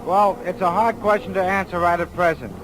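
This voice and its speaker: A young man, a soldier, giving measured, earnest answers in a 1940s street radio interview. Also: high-pitched, background noise. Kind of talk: unscripted vox-pop answers. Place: outdoors on a busy downtown street. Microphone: broadcast street microphone.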